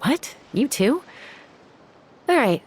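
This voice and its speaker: An actress playing a self-assured young woman in a video game, casual and composed, with a slightly gravelly voice. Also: high-pitched, very animated, takes it slowly, slight background noise, exaggerated voice. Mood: amused, affectionate. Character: self-assured young woman raised among wild birds.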